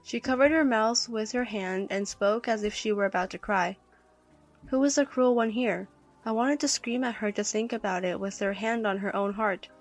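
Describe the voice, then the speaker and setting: A woman's voice: mid-range voice, normal speed, faint music behind. A woman reading a scripted first-person story as narrator.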